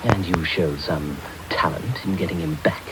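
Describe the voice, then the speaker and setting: A man's voice: low voice, normal speed. A man playing a sinister, bullying conspirator in a radio drama, curt, bitter and menacing. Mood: bitter, accusing. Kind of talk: 1990s radio drama acting. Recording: noisy 1990s medium-wave radio tape.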